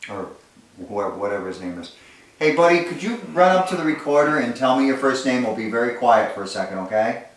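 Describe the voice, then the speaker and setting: A man's voice: medium pitch, animated, fairly quick. A man investigating a haunted house, calling out to spirits in a coaxing, conversational way. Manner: coaxing, speaks to spirits directly. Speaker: paranormal investigator. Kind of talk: casual ghost-hunting talk. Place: inside a house. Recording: echoey room from far off, faint noise.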